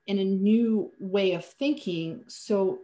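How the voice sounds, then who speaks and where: A woman's voice: mid-range voice, takes it slowly, dark tone. Earnest woman. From Slovenia. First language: Slovenian. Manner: earnest, reflective, with frequent restarts. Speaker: guest, finance business owner. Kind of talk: podcast interview answers.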